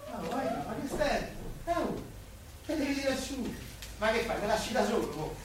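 An actor playing an affectionate, teasing working-class Roman husband of the wartime years in a colloquial stage drama. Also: male voice, very high voice, big pitch swings, normal speed. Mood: coaxing, nostalgic. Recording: very echoey stage, distant, noisy.

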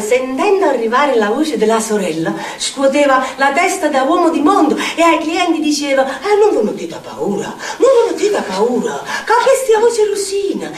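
An actress playing an eccentric, earthy Neapolitan storyteller in a declamatory theatrical monologue, with a strong Neapolitan accent. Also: female voice, sits high. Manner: declamatory, dramatic, sing-song repetitions. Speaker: stage actress. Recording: echoey theatre stage, distant mic, faint noise.

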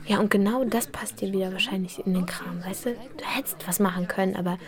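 A woman's voice: medium pitch, steady tone, fast talker, crowd noise, lightly acted. An actress playing a frustrated, reproachful girlfriend, insistent and accusing in naturalistic radio play dialogue.

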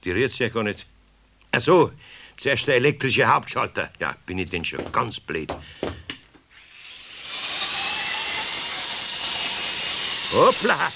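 An actor playing a grumpy, easily flustered older craftsman with a Bavarian accent and a gravelly voice, muttering and scolding in a children's radio play. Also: medium pitch, big pitch swings, normal speed, bright, forceful tone, heavily acted. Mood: muttering, baffled. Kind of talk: 1970s German children's radio play acting. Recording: faintly noisy 1970s radio play tape.

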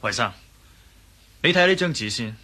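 Cocky, bright-voiced desert adventurer viscount. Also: male voice, medium pitch, lively intonation, normal speed. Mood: self-assured. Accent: Hong Kong Cantonese. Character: cocky French viscount, wartime desert agent turned guide. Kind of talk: Cantonese radio drama acting.

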